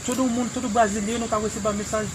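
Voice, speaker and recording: A man's voice: high-pitched, level tone, very fast. A man with a Haitian accent publicly confronting someone, speaking emphatically and insistently and repeating his statements. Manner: emphatic, repetitive. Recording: noisy outdoor crowd recording. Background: crowd and ambient noise.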